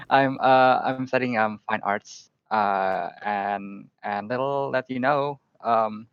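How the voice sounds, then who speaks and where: A man's voice: on the low side, takes it slowly, clean tone, no room sound. Laid-back man from Indonesia, dull audio. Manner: relaxed, jokey, frequent fillers. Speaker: guest photographer. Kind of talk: casual podcast interview.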